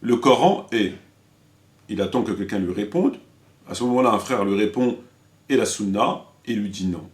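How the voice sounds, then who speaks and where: Low-pitched man, halting commentary. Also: lively intonation. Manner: halting and repetitive, searching for words. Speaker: religious commentator and video presenter. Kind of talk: informal spoken critique addressed to viewers.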